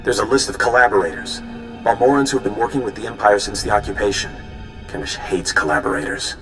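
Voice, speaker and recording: An idealistic young resistance mole, played by a man talks earnestly and warmly in a lowish voice. He sounds friendly and sincere. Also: fast, soft background music, lightly acted, poor audio.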